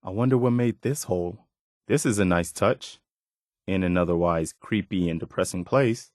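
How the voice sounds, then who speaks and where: An actor playing an earnest, dutiful militia soldier in a post-apocalyptic video game, speaking in a plain, folksy way. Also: male voice, sits low, normal speed, a little mellow, dry recording, studio quality, lightly acted. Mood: earnest, wary. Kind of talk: video game companion voice lines.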